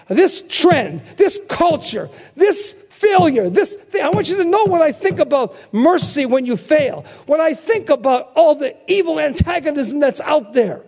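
High-voiced emphatic older male preacher. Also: a little mellow. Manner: call-and-response, emphatic repetition. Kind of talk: sermon.

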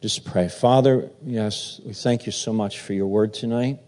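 Slow mellow guy preaching, lots of ums, reads bible out loud. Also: fairly low pitch. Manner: halting, filler-heavy, then steady reading. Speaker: pastor. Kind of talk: sermon with scripture reading.

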